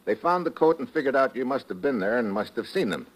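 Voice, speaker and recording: An actor playing a tough, confident American government agent in a 1940s radio drama, blunt and wisecracking. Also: male voice, medium pitch, animated, fast, natural voice, rough recording. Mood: firm.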